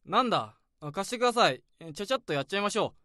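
A man's voice: high voice, sing-song, pushed and bright, no echo, studio quality, full character voice. A man playing an eager young junior employee who is easily startled, in a radio drama. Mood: flustered. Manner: quick, bouncy, easily surprised.

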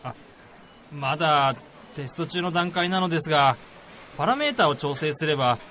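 Man acting an excitable, eager researcher, bright animated voice. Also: a little high, moderate pace, a little hiss or hum. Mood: deferential. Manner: exclamatory, eager. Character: excitable, eager male researcher, flustered and deferential to boss. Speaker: voice actor, also narrator. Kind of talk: comedic radio drama acting.

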